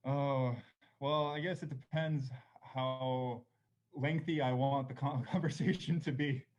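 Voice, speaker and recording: A man from Korea talks through his answers slowly in a panel discussion, pausing with fillers and small laughs. His voice sits at a middle pitch, and the recording sounds a little dull and lo-fi. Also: steady tone. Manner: thoughtful, hesitant, many fillers and soft laughs. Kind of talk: reflective panel conversation.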